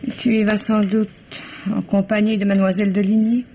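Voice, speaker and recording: Casting: woman as bitter, plaintive French wife, middle-aged, gravelly edge, vintage radio drama on dull tape. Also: mid-range voice, level tone, a little fast, warm tone, close to an everyday voice. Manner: plaintive, sighing, reproachful. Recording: dull, noisy old radio recording.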